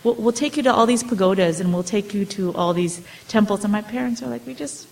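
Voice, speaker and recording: A woman's voice: mid-range voice, a little fast, creaky, poor audio. A woman in her forties, an author, talking about her family's history in a loose, hesitant way, full of fillers and restarts. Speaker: author answering audience questions. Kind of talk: casual storytelling talk.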